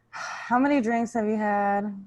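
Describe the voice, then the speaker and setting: A woman playing a weary, exasperated bouncer at heaven's gate in improvised comedy, curt and deadpan. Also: medium pitch, takes it slowly, highs cut off, lightly acted.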